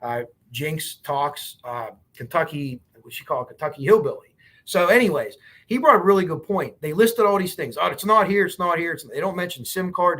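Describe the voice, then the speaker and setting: A man's voice: fairly high pitch, a bit bright. A man with a Pittsburgh accent hosting a true crime livestream, casually reading court documents aloud and talking bluntly to his viewers. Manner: blunt, chatty, swears, talks to viewers and chat. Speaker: true crime show host.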